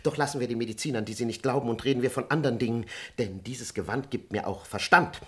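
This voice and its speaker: An actor playing a pious, talkative manservant who argues earnestly like a would-be scholar in a German radio comedy. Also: male voice, mid-range voice, fast, a bit bright, close to an everyday voice. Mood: slyly probing. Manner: earnest, argumentative, pseudo-learned rambling. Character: talkative, pious male servant disguised in doctor's robe.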